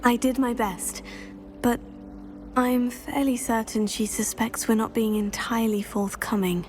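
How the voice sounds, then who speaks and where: A woman's voice: a little high, fairly even intonation, measured pace, a little mellow, slight background noise, lightly acted. A woman playing a courteous, composed teenage student of magic in a video game cutscene. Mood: earnest, respectful. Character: polite, earnest teenage female student of magic.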